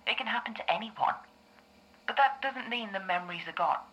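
A woman playing a calm, reassuring therapist in an audio drama, speaking in a measured, explanatory way. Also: mid-range voice, muffled recording, close to an everyday voice. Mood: calm, explaining. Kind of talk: scripted audio drama dialogue.

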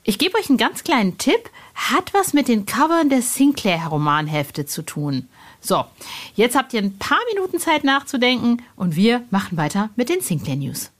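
A woman's voice: fairly high pitch, sing-song, normal speed, a little hiss or hum. A woman hosting a podcast in an informal, chatty, colloquial way with bubbly energy. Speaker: podcast host. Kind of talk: casual chatty podcast presenting.

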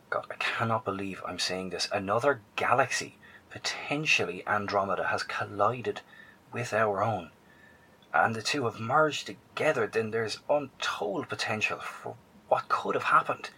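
A man's voice: fairly low pitch, a little slow, pushed and bright, breathy edge, full character voice, poor audio. An actor playing a grave, hesitant male broadcaster who talks to survivors and often drops to a whisper. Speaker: narrator.

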